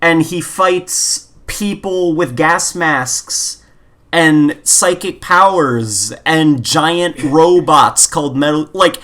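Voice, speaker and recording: A man's voice: on the high side, slow, fairly bright tone. A man co-hosting a gaming podcast in casual chat, rambling with opinionated exasperation. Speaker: podcast co-host.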